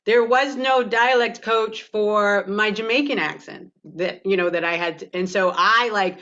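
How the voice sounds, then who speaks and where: Woman, Canadian accent, mid-range voice, candid, animated, quick to laugh, slightly dull lo-fi online audio. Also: medium pitch. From Toronto, Canada. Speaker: actor and producer, panelist. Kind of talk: candid panel discussion. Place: virtual panel over video call.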